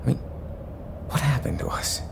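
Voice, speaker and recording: A man's voice: moderate pace, background noise, natural voice. A man playing a frustrated, idealistic younger hero in an audio drama, earnest and confrontational. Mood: hurt, frustrated.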